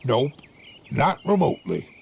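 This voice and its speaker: An actor playing a gruff, weary bounty hunter in a game, terse and grumbling. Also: male voice, medium pitch, big pitch swings, a little slow, a little mellow, full character voice. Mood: weary irritation. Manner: terse, grunting, sighing.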